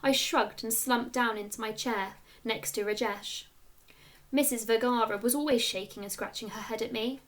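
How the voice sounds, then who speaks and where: A woman reading a children's story aloud like a schoolteacher, with expressive narration and clear storytelling emphasis. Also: a little high, moderate pace.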